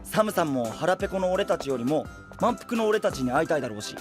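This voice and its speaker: A man voicing a cheerful, carefree young traveler with a boisterous, naively confident manner in an animated audio drama. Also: fairly high pitch, fast talker, over music. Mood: upbeat, carefree. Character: cheerful, food-loving, carefree young male traveling companion. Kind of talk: animated Japanese voice drama acting.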